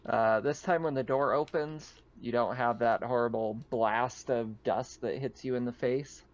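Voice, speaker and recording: A man's voice: medium pitch, steady tone, unhurried, highs cut off. A man acting as game master, narrating and explaining a tabletop game in a casual, informal way.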